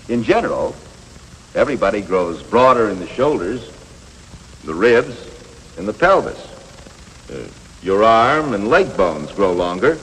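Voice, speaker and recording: A patient American instructor in an old 1950s classroom film. His delivery is lively and varied, plain-spoken and reassuring. The soundtrack is reverberant, with some hiss and noise. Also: fairly low pitch. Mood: patient, explanatory. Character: patient, matter-of-fact male coach teaching adolescent boys. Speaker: instructor of teenage boys. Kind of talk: 1950s educational film acting.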